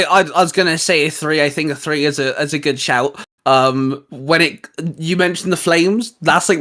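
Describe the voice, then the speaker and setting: Guy with a slightly high voice hosting a gaming chat, rambly and into it. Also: pushed and bright. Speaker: host.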